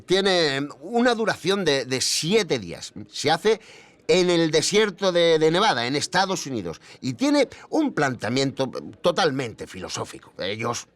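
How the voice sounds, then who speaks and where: A man playing a rambling expert interviewee who explains in a halting, conversational way, often repeating himself. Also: fairly high pitch, big pitch swings, fairly bright tone, dry recording. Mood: amused, explaining.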